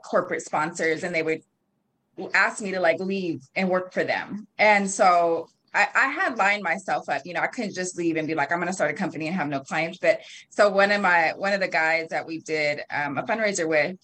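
A woman's voice: on the low side, fairly bright tone, low-quality recording. A woman in her thirties talking casually as an interview guest, chatty with frequent laughs and fillers. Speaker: guest, marketing and PR company founder.

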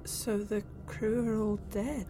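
An actress playing Claire, a curious young woman abducted onto an alien ship, calm and reassuring to others. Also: medium pitch, slow pace, lightly acted. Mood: calm, steadying. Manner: reassuring, then eagerly curious. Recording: faint music score underneath.

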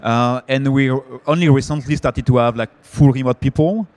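A man's voice: medium pitch, normal speed, darkish tone. French-accented man on a panel. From France. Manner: hesitant, many fillers and restarts. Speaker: panelist, company founder. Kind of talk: conference panel discussion.

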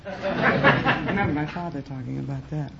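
A woman's voice: sits low, expressive, normal speed, vocal fry, very noisy. A woman from Chicago with an American accent, chatting and telling stories as a quiz contestant. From Chicago, USA. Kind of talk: conversational storytelling on radio. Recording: dull old radio recording.

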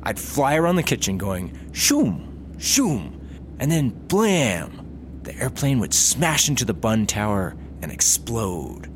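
Excitable, eager young traveler, man playing, lively pitch, noisy plane-cabin background. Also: takes it slowly. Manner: excitable, pitch jumps high when excited. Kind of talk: comedy audio drama acting.

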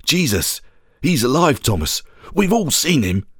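A man voicing a joyful, earnest male disciple with a gentle manner in a dramatic story. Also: fairly high pitch, big pitch swings, a little slow, breathy edge, no room sound, studio quality, pitched below the performer's own voice, heavily acted.

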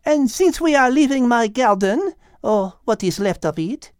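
A man's voice: extremely high pitch, expressive, smooth, no echo, voice pushed up. Audiobook role: tactful, learned French lady cat, male reader, formal phrasing, very melodic, close dry sound. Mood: gracious. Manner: precise, formal, measured wording. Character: refined, knowledgeable, tactful female French cat. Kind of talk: audiobook character acting.

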